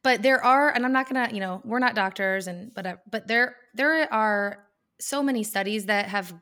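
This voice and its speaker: A woman's voice: fairly high pitch, moderate pace, sharp tone. Woman, thirties, upbeat, encouraging, casual podcast chat. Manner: upbeat and encouraging, with fillers and restarts. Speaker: podcast co-host.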